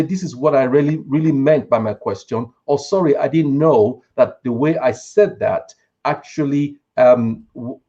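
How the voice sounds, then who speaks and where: A man recounting experience on a discussion panel in careful, measured, halting phrases. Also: mid-range voice, warm tone, highs cut off. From Nigeria. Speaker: church leader on a panel.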